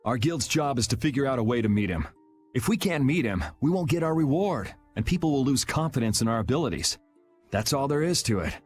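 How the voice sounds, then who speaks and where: A man's voice: mid-range voice, sing-song, a little fast, close to an everyday voice. An easygoing young hero acted by a man from a video game, casual and teasing in a mid-range voice. His delivery is matter-of-fact yet widely inflected, over faint music. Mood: teasing, encouraging. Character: cool, easygoing, encouraging young swordsman. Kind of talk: animated RPG video game dub. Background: faint background music.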